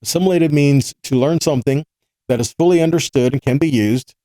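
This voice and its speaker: A man's voice: on the high side, fairly quick, warm tone, dry recording, low-quality recording. A man giving a sermon-style scripture teaching, explaining step by step and posing rhetorical questions to his listeners. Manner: didactic, asking rhetorical questions and checking in with "right?".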